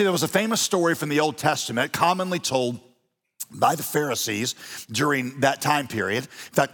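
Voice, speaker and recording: A man preaching a sermon as pastor, animated and folksy with touches of humor. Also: fairly high pitch. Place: large church auditorium. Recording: long reverb of a church hall.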